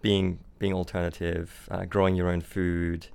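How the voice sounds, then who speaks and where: A man's voice: low-pitched, flat delivery, unhurried, gravelly, a little mellow, smooth. A young man born in Switzerland and raised in Australia, a startup founder chatting casually as a podcast guest, often repeating words and restarting sentences. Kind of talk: casual interview chat. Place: London.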